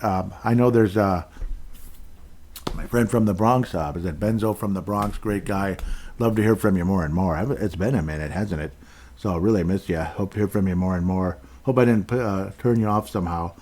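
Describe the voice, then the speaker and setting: A man with a somewhat low voice hosts his own sports podcast. He talks loosely and chattily, drifting between thoughts and warmly calling out listeners by name. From Minnesota. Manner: rambling, friendly, thanks listeners by name. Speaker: podcast host. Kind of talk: casual solo podcast chat.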